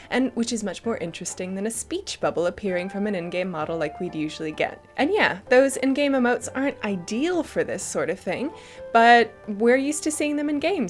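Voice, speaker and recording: A woman giving chatty, enthusiastic and emphatic scripted commentary as the host of a gaming news show. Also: a little high.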